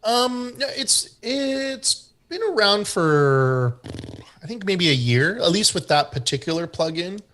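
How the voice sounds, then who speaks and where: A Canadian man explaining website search topics to an online group in a relaxed way, with many fillers and restarts. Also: mid-range voice. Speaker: guest expert on website SEO.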